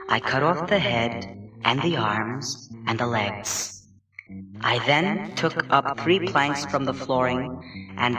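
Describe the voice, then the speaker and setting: A man's voice: medium pitch, bright, forceful tone. A man playing a mad, cunning murderer who grows frantic, in a theatrical horror story reading. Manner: theatrical, slow deliberate delivery. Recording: roomy, distant, music behind.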